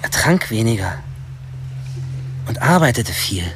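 A man's voice: mid-range voice, big pitch swings, a little slow, noisy background. An actor narrating a radio drama as a wry young man looking back on his troubled teens, with a dry, ironic manner. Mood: wry, reflective.

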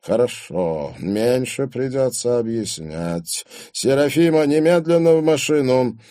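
A man reading an audiobook, voicing a domineering, furious rich old father who shouts threats with menace and contempt. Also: mid-range voice, sing-song, takes it slowly, no room sound, studio quality, voice pushed up. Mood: menacing. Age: older. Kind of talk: dramatised audiobook character voice.

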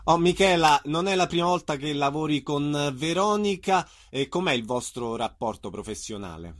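A man hosting a conversational arts interview, putting measured, informal questions to a guest. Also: a little high, animated. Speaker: radio interviewer and host.

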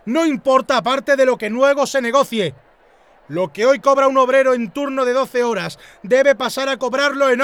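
Resolute worker for a radio play, very high, smooth voice, Spanish accent. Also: male voice, fairly bright tone. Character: resolute male worker demanding wage increase.

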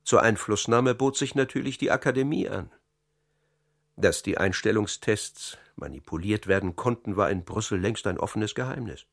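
An actor playing an insider calmly confessing a political conspiracy in a radio crime play, measured and sober. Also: male voice, gravelly. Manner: measured, sober account, dropping low on key points. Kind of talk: radio drama, confessional narration.

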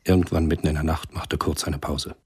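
A man reading scripted narration for a German radio drama in a gravelly, husky voice. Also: very deep voice, level tone, fairly quick, background noise.

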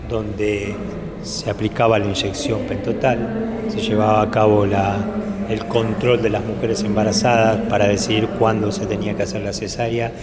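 A man's voice: fairly low pitch, level tone, normal speed. A man with an Argentine accent explaining things as a tour guide. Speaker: memorial site tour guide. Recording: live on site amid loud crowd noise. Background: loud crowd noise.

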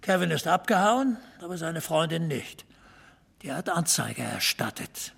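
A man playing a drily knowing small-town club regular in an audio drama, telling local stories with emphasis in a gravelly, husky voice. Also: fairly high pitch, sing-song, a little slow, fairly bright tone, exaggerated voice. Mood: wry, knowing. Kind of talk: German audio drama dialogue.

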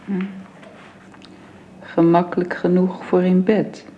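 An actress playing a long-ill bedridden wife who speaks softly and haltingly, often in a whisper, in a dull old radio drama recording. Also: female voice, fairly low pitch, flat delivery, slight background noise. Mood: resigned. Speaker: bedridden invalid.

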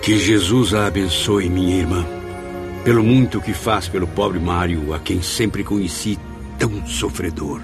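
A man's voice: sits low, unhurried, music in the background. An actor playing an earnest, sorrowful male spirit who solemnly tells his story in a radio soap, with a Brazilian accent. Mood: compassionate. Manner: solemn, formal. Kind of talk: dramatic scripted narration in radio soap.

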